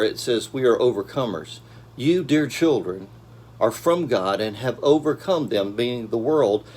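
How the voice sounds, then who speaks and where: A man in his fifties giving a casual daily devotional talk from a small office, with gentle pacing and frequent ums and uhs, recorded by a camera at desk distance. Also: medium pitch, breathy edge. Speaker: church devotional speaker.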